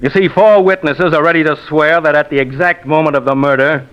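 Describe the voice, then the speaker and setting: An actor playing a stern, skeptical homicide lieutenant in a 1950s radio drama, blunt and sardonic. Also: male voice, on the high side, steady tone, brisk, clear, resonant tone, natural voice. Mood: stern, certain. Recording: muffled vintage radio recording.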